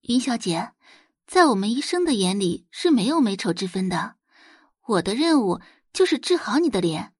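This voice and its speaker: A woman playing a poised young female doctor in an audio drama, polite, measured and self-assured. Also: medium pitch, big pitch swings, a little mellow, husky, no echo, clean studio sound. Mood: friendly, reassuring.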